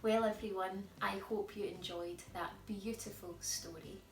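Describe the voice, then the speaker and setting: A woman narrating a children's storybook as a storytime presenter, expressive and speaking to young listeners. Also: medium pitch, measured pace. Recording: echoey room, distant mic, music behind.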